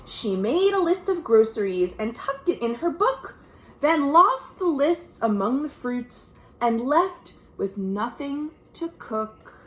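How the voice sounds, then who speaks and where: American woman, children's librarian, picture-book read-aloud, swooping expressive pitch, unhurried pace, sing-song delivery. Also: roomy sound, low-quality recording. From United States. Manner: expressive, sing-song reading with chatty asides to young listeners. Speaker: children's librarian hosting a virtual storytime. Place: at home.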